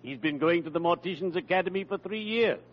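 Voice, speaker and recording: An actor playing a genteel, cheerfully morbid undertaker with theatrical, ornate speech in an old-time radio comedy. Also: male voice, high-pitched, quick pace, lightly acted. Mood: drily amused. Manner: genteel, theatrical, drily macabre. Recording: muffled, noisy vintage radio recording.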